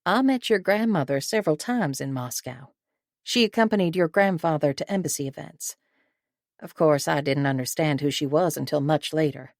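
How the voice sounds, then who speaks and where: A woman reading the part of a formidable older female intelligence director in measured, composed tones. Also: sits low, no room sound, studio quality. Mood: curious. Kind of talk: audiobook character reading.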